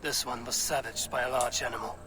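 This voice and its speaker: An actor playing a stoic, blunt Viking warrior with a measured manner in a video game. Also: male voice, medium pitch, flat delivery, lightly acted. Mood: grave. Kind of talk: video game cutscene acting. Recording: clear background noise.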